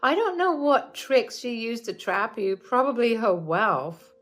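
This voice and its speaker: Woman doing a spiteful shrill mother-in-law. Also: sing-song, measured pace, fairly bright tone, faint music behind. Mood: scornful.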